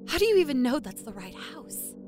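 A woman's voice: high-pitched, sing-song, fairly quick. Wary young woman voiced by an actress, cool and terse, horror audio drama, music bed. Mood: guarded, skeptical.

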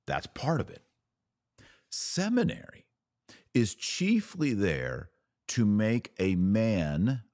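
A man's voice: deep voice, darkish tone, no room sound, clean studio sound. A man hosting a live religious commentary show, speaking earnestly and emphatically and repeating phrases for stress. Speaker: podcast host answering live viewer comments.

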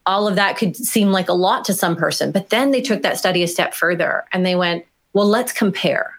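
A woman author being interviewed, talking in an animated, emphatic way with small fillers. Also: fairly low pitch, brisk. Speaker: author and guest. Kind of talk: conversational interview answers.

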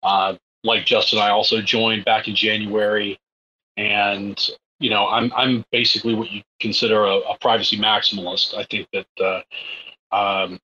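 A man from the United States, a copywriter, speaking in a friendly, halting way. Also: fairly low pitch, flat delivery, bright, forceful tone. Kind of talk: casual panel chat. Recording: dull lo-fi mic with faint noise.

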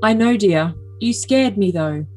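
A woman's voice: medium pitch, animated, a little slow, darkish tone, close to an everyday voice. A woman playing a possessive, earnest young boyfriend with stilted endearments in a dramatic comedic reading of text messages. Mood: pleading.

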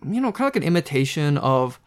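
A man's voice: on the high side, very animated, fast. A man talking casually in a group discussion, rambling with many fillers and restarts. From United States.

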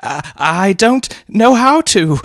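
A pompous young gentleman from a storybook, slightly raised and stammering, read by a man. He sounds grave but shaky in a dry, close recording. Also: medium pitch, animated, moderate pace, dry recording, pitched above the performer's own voice, natural voice. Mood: flustered. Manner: stammering, solemn.

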